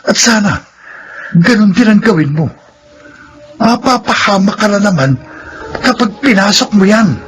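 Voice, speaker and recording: A humble, reformed ex-convict father in a radio drama. He speaks earnestly in a fairly high voice. Soft music plays underneath. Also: expressive, a little slow, pauses often, poor audio. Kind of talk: classic radio drama acting.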